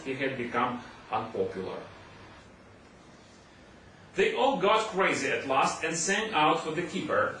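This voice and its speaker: Very echoey, higher-pitched man reading a story. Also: fairly bright tone. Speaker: audiobook reader. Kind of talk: scripted narration of a humorous classic story. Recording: very echoey room, distant mic, faint noise.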